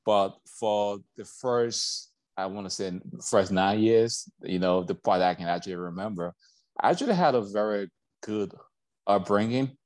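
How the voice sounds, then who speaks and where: A man's voice: lowish voice, normal speed, no echo. A man in his forties from Liberia, reflecting on his life as a guest in a casual interview, with lots of "like" and "you know".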